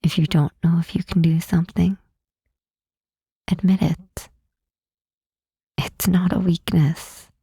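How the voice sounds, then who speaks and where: A woman playing a gentle, reassuring demon queen in an intimate ASMR roleplay, speaking softly and tenderly with a breathy, husky voice and deliberate pauses. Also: on the low side, little pitch movement, no echo, studio quality, heavily acted. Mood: tender reassurance. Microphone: close mic, dry.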